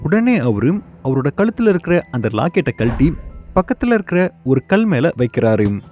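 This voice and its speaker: A man narrating a scripted cartoon horror story with dramatic, measured delivery. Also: on the high side, big pitch swings, a little mellow, faint music behind.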